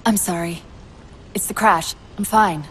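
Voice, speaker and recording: An actress playing a quick-witted, anxious female military AI companion whose mind is failing, in cinematic game style, wry, urgent and emotive. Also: lowish voice, steady tone, background noise, close to an everyday voice. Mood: defensive, brittle. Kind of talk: cinematic video game voice acting.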